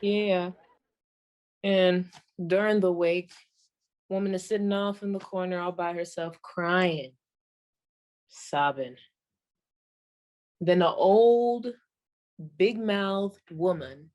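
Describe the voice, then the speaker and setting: A woman playing a folksy older stand-up comedienne of the 1940s, telling jokes in a drawn-out, deliberate way. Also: lowish voice, darkish tone, some chatter behind. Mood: folksy, wry.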